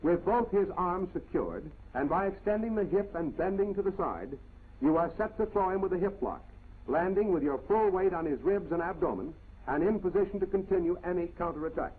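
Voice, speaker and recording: Tinny high-pitched 1940s training film narrator, male. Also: lively intonation, fairly quick, breathy edge. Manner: clipped, commanding step-by-step instruction. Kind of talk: scripted 1940s military instructional narration. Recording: tinny band-limited old 1940s film soundtrack.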